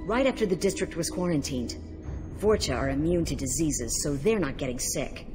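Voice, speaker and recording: An actress playing a frightened, indignant alien refugee in a video game, defensive and wry. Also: female voice, low voice, animated, moderate pace, music in the background. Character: frightened, indignant female non-human plague-district refugee.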